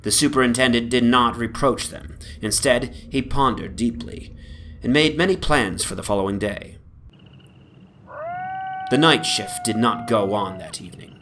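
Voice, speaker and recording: A man giving a formal, measured, theatrical dramatic reading of a gothic horror story as its narrator. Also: medium pitch, a bit bright. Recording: background noise from an ambient soundscape. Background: atmospheric soundscape.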